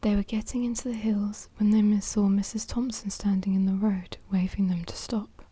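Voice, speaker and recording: A woman reading a children's storybook aloud in a soft, breathy whisper, with hushed asides to the listener. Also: medium pitch, steady tone, normal speed. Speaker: storybook reader.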